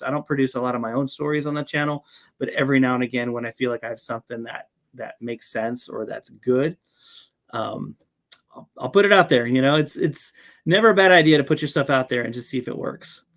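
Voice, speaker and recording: A man's voice: mid-range voice, fairly even intonation, a little fast, gravelly, a bit bright. Casual, modest man chatting as guest. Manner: modest, appreciative, informal. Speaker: podcast guest, fan audio drama producer. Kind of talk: casual interview chat.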